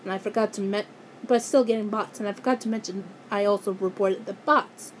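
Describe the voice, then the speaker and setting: A woman ranting angrily in a vlog, hoarse and losing her voice, often breaking off and restarting her sentences. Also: mid-range voice, fairly even intonation, brisk, a little hiss or hum. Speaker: YouTuber.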